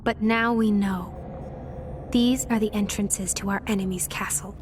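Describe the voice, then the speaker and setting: A woman's voice: mid-range voice, fairly even intonation, a little slow, natural voice. A woman playing a composed resistance leader in a video game, assured and explanatory. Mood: resolute. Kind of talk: animated video game voice acting. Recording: faint music behind the voice.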